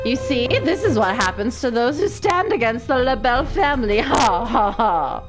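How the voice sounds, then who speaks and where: A woman's voice: a little high, sing-song, normal speed, over music. A woman voicing a pompous, indignant young male heir in a dramatic video game reading. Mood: smug. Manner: pompous, imperious, old-fashioned wording.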